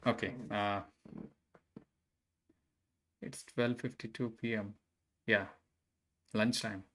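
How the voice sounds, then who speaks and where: A man talks to viewers on a coding live stream. His voice sits low and stays flat. He speaks hesitantly, with lots of fillers and restarts, but stays friendly throughout. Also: slow, slight background noise. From Bangalore, India. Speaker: livestreaming software engineer. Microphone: desk mic set a bit far.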